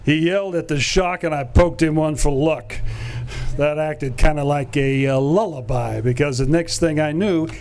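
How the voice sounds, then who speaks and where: A man's voice: on the high side, normal speed, darkish tone, a little hiss or hum. A man acting a wisecracking role in a live 1940s-style radio play on stage. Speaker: actor playing Boston Blackie in a live radio play. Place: stage of a museum theater.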